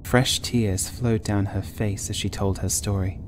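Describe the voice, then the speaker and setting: A man reading a scripted first-person horror story as an audiobook narrator, in a measured, literary way. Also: lowish voice, fairly even intonation, noisy background.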